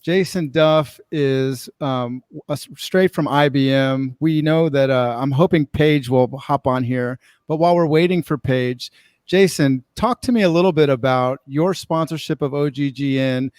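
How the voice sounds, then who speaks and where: A man's voice: medium pitch, unhurried, a little mellow, clean tone, no echo, studio quality. A man casually hosting a live online event as its master of ceremonies, with an enthusiastic manner.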